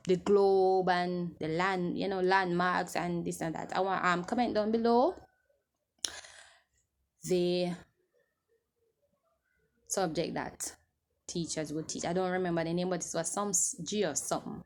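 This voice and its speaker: A woman's voice: on the low side, steady tone, unhurried, pauses often. A woman in her twenties chatting casually to camera as she answers viewer questions, rambling and restarting as she loses her train of thought. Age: 20s.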